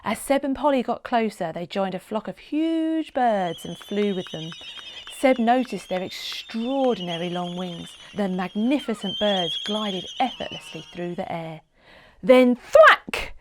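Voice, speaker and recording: A woman narrating a children's storybook in an expressive, dramatic read-aloud style with deliberate phrasing. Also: mid-range voice.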